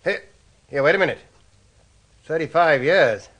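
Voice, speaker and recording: Radio drama: tight-fisted English boss, slightly high voice, brusque, unfeeling and bossy. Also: male voice, animated, unhurried, a bit bright. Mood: brusque, self-satisfied. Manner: brusque, offhand. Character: callous, penny-pinching male boss, brusque and bossy. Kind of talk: British radio drama acting.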